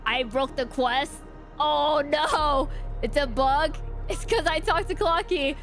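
A woman's voice: high voice, slow, fairly bright tone, faint music behind. A woman live streamer giving casual, joking, sweary reactions while playing a game. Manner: playful, joking, swears freely.

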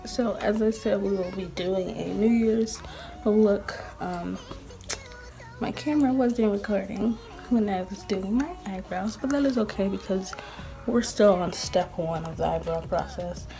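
A woman's voice: mid-range voice, a little slow, vocal fry, warm tone, soft background music. A 19-year-old woman chatting casually in her bedroom while doing her makeup, rambling with lots of "like" and "um". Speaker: beauty vlogger. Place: small bedroom.

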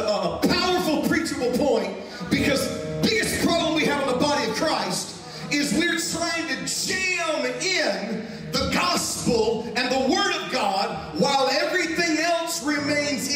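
A man, preaching an energetic sermon with a handheld mic on a large church stage, emphatic and shouting key points. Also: extremely high pitch. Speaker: pastor. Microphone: handheld microphone. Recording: echoey hall, mic set back.

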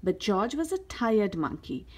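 A woman reading a children's picture book aloud as a storyteller, with careful, expressive delivery. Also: mid-range voice, unhurried, breathy edge. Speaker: host of a read-aloud story channel.

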